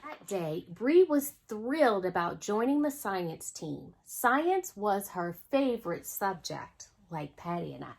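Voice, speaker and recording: A woman's voice: medium pitch, very animated, slow pace, gravelly, few pauses, breathy edge. A woman hosting a children's storytime, reading a picture book aloud expressively and speaking directly to young listeners. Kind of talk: storytime read-aloud with asides to young viewers.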